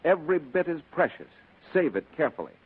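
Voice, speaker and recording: A man's voice: fairly high pitch, sing-song, fast, slightly rough, no echo. An American man announcing from a script in 1940s radio style. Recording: muffled old radio with faint music, audience.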